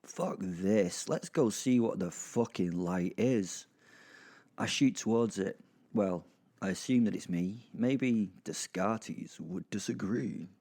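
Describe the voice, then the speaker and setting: Low-voiced, sweary, deadpan werewolf played by a man. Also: dry recording, clean studio sound. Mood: wry. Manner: sweary, deadpan, wry, halting. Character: crude, sardonic male werewolf narrating his own afterlife. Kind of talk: first-person dark fantasy audio drama narration.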